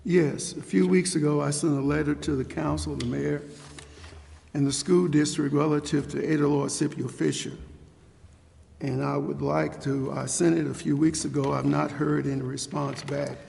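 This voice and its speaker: A man speaking as a city council member, insistent and deliberate, raising questions at a formal public meeting. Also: on the high side, little pitch movement, creaky, a little mellow. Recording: reverberant meeting chamber.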